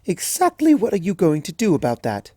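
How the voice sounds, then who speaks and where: A woman's voice: fairly low pitch, huge pitch swings, brisk, mellow, breathy edge, no echo, clean studio sound, voice pushed up, full character voice. A woman doing a refined, soothing mare. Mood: dry. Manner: posh, soothing, calls others darling. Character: gentle, refined mare unicorn, soothing and elegant.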